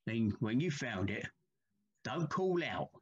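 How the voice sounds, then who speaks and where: A man playing a humble, haunted Victorian railway signalman in an audio play, speaking gravely and haltingly with deference. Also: mid-range voice, very animated, dull audio, exaggerated voice. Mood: troubled, guarded.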